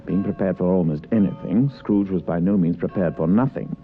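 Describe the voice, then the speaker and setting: A man's voice: sits low, brisk, lightly acted. A man giving dramatic literary narration in an old radio broadcast, with a tinny sound and music behind.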